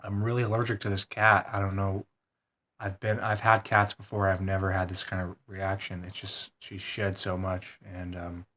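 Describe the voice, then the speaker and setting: A congested man talking hesitantly in a rambling personal video diary, full of pauses and ums. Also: low-pitched, sharp tone. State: congested, stuffed-up nose. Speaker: vlogger.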